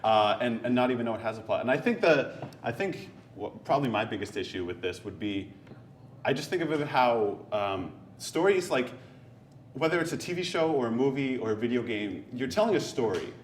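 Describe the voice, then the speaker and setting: A man chatting casually as a panelist, explaining his views in a halting, reflective way. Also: medium pitch, animated. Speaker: panelist on a discussion show. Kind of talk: casual chat. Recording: echoey room with clear noise.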